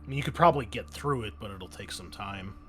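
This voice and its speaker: A man's voice: on the low side, fast talker, fairly bright tone. A man acting as game master, narrating and refereeing a tabletop roleplaying game in a conversational, explanatory way.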